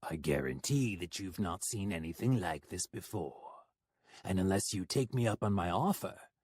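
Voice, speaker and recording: A man voicing a menacing young male stalker, speaking low, slow and threatening. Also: expressive, vocal fry, a bit bright, slightly rough, no room sound, studio quality, exaggerated voice. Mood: sweetly sinister.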